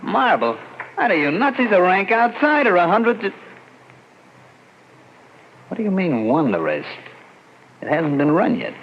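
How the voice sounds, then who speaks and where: Brash radio-drama crook, muffled noisy recording. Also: male voice, high voice, wildly swooping pitch, measured pace, pauses often, exaggerated voice. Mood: agitated. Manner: slangy, brash, pushy. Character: greedy small-time gambler and crook, brash wise guy. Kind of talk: old-time radio thriller acting and narration.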